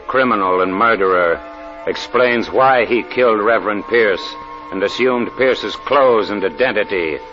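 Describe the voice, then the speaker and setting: A man's voice: on the low side, bright, forceful tone. Theatrical male vintage radio announcer, muffled. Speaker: program announcer. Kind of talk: dramatic 1940s radio scripted narration. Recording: muffled old radio, faint music, crowd.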